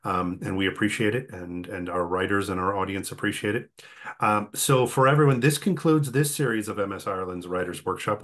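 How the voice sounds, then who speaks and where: A man hosting an online workshop in a conversational, halting way, often repeating words as he goes. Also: lowish voice, fairly bright tone. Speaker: host.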